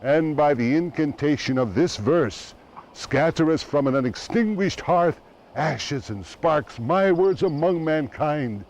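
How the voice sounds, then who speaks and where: A man giving a dramatic poetry recitation in a solemn, declamatory manner with deliberate phrasing. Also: on the high side, animated, darkish tone, a little hiss or hum. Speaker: poetry reader.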